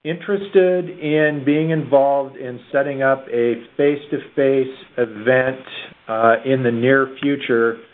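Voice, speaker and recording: The sound is the narrow band of a telephone call. A man with a medium-pitched voice moderates the meeting informally, with halting delivery. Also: steady tone. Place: conference call. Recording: muffled telephone line.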